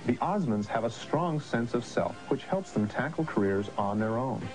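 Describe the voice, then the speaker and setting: A male TV reporter reading scripted narration in a polished, even broadcast delivery. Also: mid-range voice, moderate pace, soft background music, low-quality recording.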